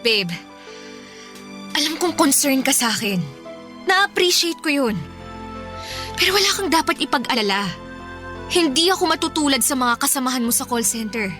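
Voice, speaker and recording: Bright, high-pitched Filipino young girlfriend. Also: big pitch swings, moderate pace, long pauses, soft background music, full character voice. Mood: defensive, sulky. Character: spendthrift, playful young girlfriend, testy when questioned. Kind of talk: Filipino radio drama acting.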